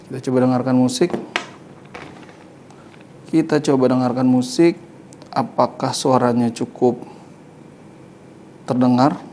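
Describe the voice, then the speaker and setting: A man's voice: medium pitch, a little mellow, slight background noise. A man hosting a casual hands-on motorcycle gear review, informally thinking aloud as he demonstrates. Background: music.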